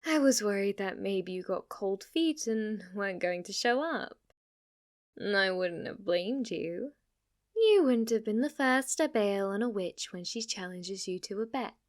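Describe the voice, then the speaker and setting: A woman's voice: medium pitch, animated, unhurried, natural voice. A woman playing a smug, clingy, lovestruck witch in an ASMR roleplay, teasing and coy as she speaks to the listener. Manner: teasing, coy, overconfident, with giggles and asides.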